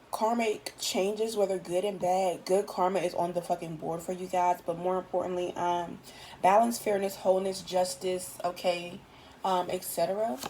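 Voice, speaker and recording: A tired woman with a raspy voice, giving a casual, chatty tarot reading in loose, swearing everyday talk. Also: lowish voice, mellow, a little hiss or hum, poor audio. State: just woke up, tired. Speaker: tarot reader.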